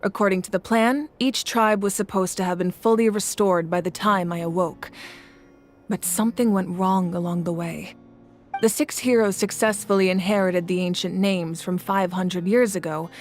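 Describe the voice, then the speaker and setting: A woman playing a composed, grave ruler in a video game, speaking in measured, deliberate lines. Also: mid-range voice, lightly acted. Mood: calm, serious. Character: solemn, composed woman war-god ruler of a nation. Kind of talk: video game voice acting, dramatic exposition. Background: game music.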